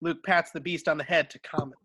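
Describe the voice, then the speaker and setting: A man's voice: fairly high pitch, fairly even intonation, fairly quick, sharp tone, husky, dry recording. A man reading stage directions as narrator in an amateur table read, now and then stumbling and correcting himself.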